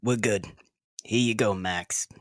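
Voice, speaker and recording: A woman's voice: very deep voice, takes it slowly, no room sound, clean studio sound, heavily acted. An actress playing a blunt, casual, helpful young half-orc woman in an audio drama.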